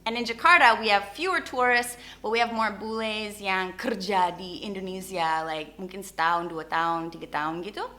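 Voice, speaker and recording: A woman hosting a vlog, giving a casual, opinionated rant in an animated, informal way and mixing Indonesian phrases into her English. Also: medium pitch, brisk, few pauses. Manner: animated, informal, acts out short imagined exchanges. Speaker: YouTube host.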